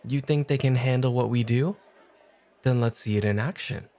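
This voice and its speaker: A man playing a cool, exacting off-duty club host who teases and challenges in a measured way, in an ASMR roleplay. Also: medium pitch, dark tone, a faint crowd, natural voice. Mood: challenging. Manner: challenging, measured, coolly teasing.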